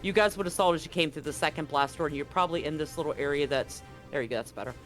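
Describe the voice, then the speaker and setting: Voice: medium pitch, very fast, fairly bright tone. A game master running a live tabletop game, explaining the action and rules in a casual, conversational way. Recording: music behind the voice. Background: background music.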